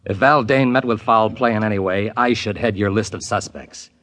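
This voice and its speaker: A man's voice: on the low side, normal speed, fairly bright tone, a little hiss or hum, natural voice. A man playing a giggling, sardonic ghost writer. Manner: florid, sardonic, prone to laughing fits. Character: mocking, giggling male ghost writer, bitter. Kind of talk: 1950s radio drama acting.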